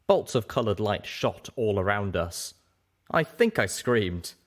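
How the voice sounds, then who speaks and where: A man reads a story aloud as an audiobook narrator. His voice is on the low side and moves widely in pitch, giving the scripted prose a dramatic shape. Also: big pitch swings, a little slow.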